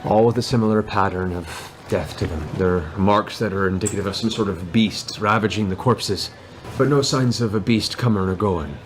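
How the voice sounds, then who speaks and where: A man acts out a guarded male informant for a tabletop game, with a fairly low voice. He is businesslike and firm, confiding what he knows, over faint background noise. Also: moderate pace, few pauses, lightly acted.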